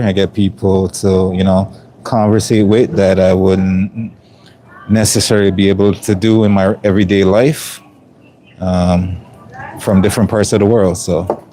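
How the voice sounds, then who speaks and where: A middle-aged man from Jamaica with a mostly Canadian accent and a hint of Jamaican, chatting casually while cooking on a livestream, relaxed and rambling with many ums. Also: low voice, a little hiss or hum.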